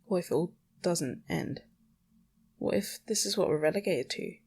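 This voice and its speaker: Blunt, creaky-voiced young podcaster rambling casually. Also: mid-range voice, animated, normal speed, darkish tone, a little hiss or hum. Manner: blunt, sweary, self-questioning. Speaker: podcast host. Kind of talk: casual solo rambling monologue.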